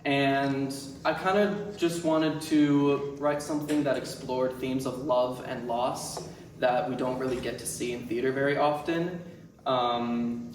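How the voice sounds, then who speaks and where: Man addressing a room, distant and echoey. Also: mid-range voice. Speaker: playwright and director introducing a reading. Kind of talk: informal welcome speech to an audience. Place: indoor venue with seated audience. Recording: echoey room, distant mic, background noise.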